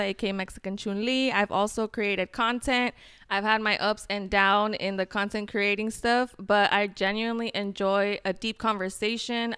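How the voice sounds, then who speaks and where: Reflective woman about to turn thirty, very crisp and resonant voice, speaking into a handheld mic on a living room couch. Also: medium pitch, sharp tone. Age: 20s. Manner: earnest and reflective, a bit rambling. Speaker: podcast co-host. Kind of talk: casual chat.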